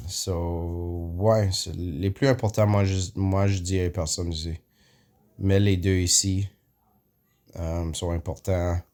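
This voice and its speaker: Slow, deep-voiced man explaining an app. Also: fairly even intonation, darkish tone. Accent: English Canadian. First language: English. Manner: hesitant, many fillers, mixes in English words; frequent throat clearing. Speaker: app tutorial presenter. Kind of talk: casual screen walkthrough.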